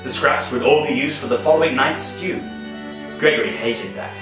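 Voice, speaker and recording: A man's voice: medium pitch, moderate pace. A man reading a comic story aloud in a whimsical, mock-formal way with playful asides. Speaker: storybook reader. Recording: echoey, distant voice with music behind.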